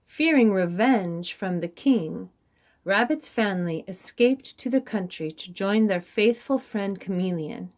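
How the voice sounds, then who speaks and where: Slow, expressive woman reading a storybook. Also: mid-range voice. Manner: dramatic, deliberate, with pauses for young listeners. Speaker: children's story reader. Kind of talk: expressive storybook read-aloud.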